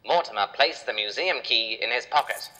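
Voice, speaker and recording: A man's voice: mid-range voice, moderate pace, sharp tone, poor audio. A man narrating a children's adventure story in a dramatic, scripted storytelling style.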